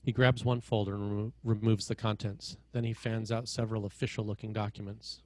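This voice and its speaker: A man's voice: fairly low pitch, flat delivery, fairly quick, darkish tone, dry recording. A man reading scene headings and stage directions as the narrator of a screenplay table read.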